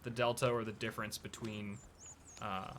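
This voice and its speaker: A young man with an American accent and a bright, forceful voice, talking casually on a live stream. He rambles through his points with plenty of ums and uhs. A faint hiss sits under the sound. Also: on the low side, flat delivery, normal speed. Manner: chatty, loose, full of filler words. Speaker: streamer host.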